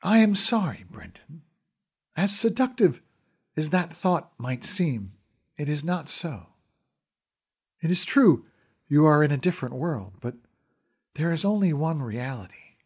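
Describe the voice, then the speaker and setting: A man voicing a regal fairy queen who speaks in calm, formal, measured phrases. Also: fairly high pitch, slightly rough, heavily acted.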